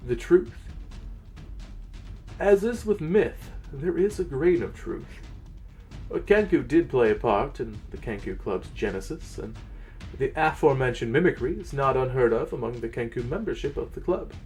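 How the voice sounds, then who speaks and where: A man's voice: mid-range voice, animated, normal speed, darkish tone. Theatrical male storyteller over music. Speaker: host, game master and narrator. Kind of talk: dramatic reading and channel presenting.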